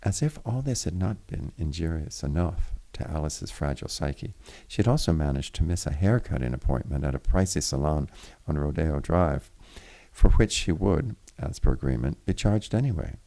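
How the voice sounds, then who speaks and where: A man reading literary fiction aloud in a formal, deliberate way, with the occasional stumble. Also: extremely low pitch, expressive, warm tone. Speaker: reader of a story chapter. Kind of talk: literary audiobook narration.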